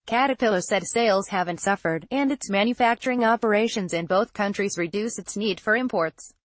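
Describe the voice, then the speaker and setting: A woman narrating a scripted financial news article in a measured, formal read-aloud delivery. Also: on the high side, no room sound.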